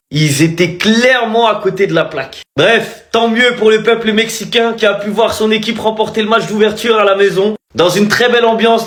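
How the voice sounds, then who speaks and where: A man with a markedly high voice gives casual football commentary. His delivery is blunt and exclamatory, and the room around him echoes. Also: a bit bright. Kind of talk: casual reaction commentary on a match.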